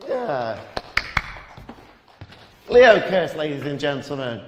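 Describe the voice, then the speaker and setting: A male compere banters and announces from the stage of a reverberant hall. His voice sits high and swings widely in pitch. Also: sing-song, slow. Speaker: comedy show compere. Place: live comedy venue. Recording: echoey hall with long reverb.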